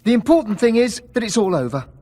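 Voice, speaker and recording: A man playing a panicky, cowardly, bickering young character in a fantasy video game, whiny and excitable. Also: sits high, fast. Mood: uneasy, bickering.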